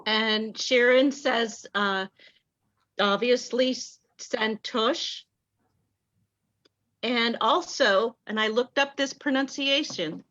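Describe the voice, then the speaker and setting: A woman reading out a playwright's answers in an online talk back, warm and enthusiastic. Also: on the high side, slow pace. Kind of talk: video call talk back. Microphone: video call. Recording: dull lo-fi call audio.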